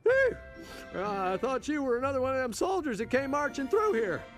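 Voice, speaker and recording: Folksy rambling security robot guy in a game, high animated voice, music behind. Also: a little fast. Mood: helpful, folksy. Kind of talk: animated video game comic acting.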